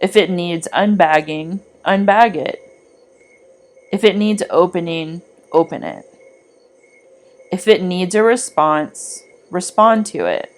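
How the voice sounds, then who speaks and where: A woman reading a scripted guided meditation in a soothing, deliberate, gently instructive manner. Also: lowish voice, animated. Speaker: meditation guide, art teacher.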